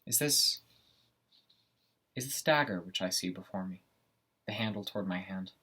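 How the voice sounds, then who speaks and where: Man acting out brooding would-be regicide, tormented and ambitious, deep husky voice, deliberate classical stage soliloquy, weighted pauses. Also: bright, forceful tone, full character voice. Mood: fearful, haunted.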